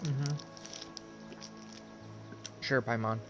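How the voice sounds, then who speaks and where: A man's voice: medium pitch, steady tone, drawn-out words. A man commentating casually as a game streamer on a live stream. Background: video game music.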